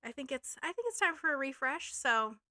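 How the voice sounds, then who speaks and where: Bright, chatty woman with a bit of a maine accent, dry close mic, lots of "like" and "um". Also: high voice, expressive, a little fast, no echo. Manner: chatty, filler-heavy, laughs often. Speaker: podcast co-host, real estate agent. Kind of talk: casual chat.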